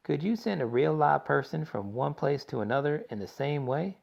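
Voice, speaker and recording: A man reading aloud and voicing a loud, cocky boy obsessed with television. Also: a little high, lively intonation, normal speed, creaky, voice pushed up, exaggerated voice. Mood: brash. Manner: shouty, cocky. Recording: dull lo-fi recording.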